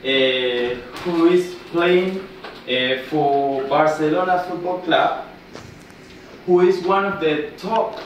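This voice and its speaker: A man from Ecuador with a Spanish accent gives an informal classroom presentation in halting, non-native English, often checking with a short tag question. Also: on the high side. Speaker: student presenter, football coach. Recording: very echoey room, distant mic, noisy.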